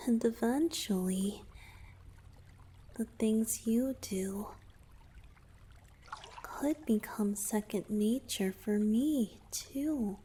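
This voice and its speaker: A woman voicing an affectionate, playful young merman boyfriend in a romantic ASMR roleplay, tender, breathy and often whispering. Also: on the high side, drawn-out words, pauses often, dark tone, slight background noise. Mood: tender, intimate.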